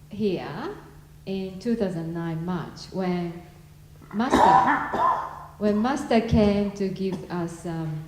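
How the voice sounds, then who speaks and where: A woman's voice: mid-range voice, darkish tone, slight background noise. A woman hosting a gathering, introducing guests in a loose, informal way and laughing now and then. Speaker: host of the event. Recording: echoey room.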